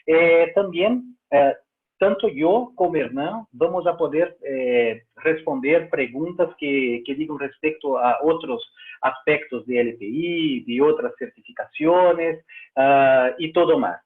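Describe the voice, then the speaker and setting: A man whose first language is Portuguese, with a Brazilian Portuguese accent, presenting a live webinar in halting second-language speech with many fillers and restarts. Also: a little high. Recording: tinny phone-like line.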